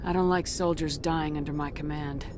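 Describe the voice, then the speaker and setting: Woman acting serious space commander lady in a game, kinda low voice, controlled. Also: fairly even intonation, normal speed, background noise, natural voice. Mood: somber. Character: steady female military space commander. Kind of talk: video game cutscene acting.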